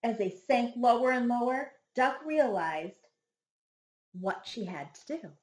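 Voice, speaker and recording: A woman reading a children's picture book aloud like a schoolteacher, with dramatic, deliberate phrasing. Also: a little high, animated. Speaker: first grade teacher reading a picture book. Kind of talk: expressive children's storybook read-aloud. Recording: echoey room sound.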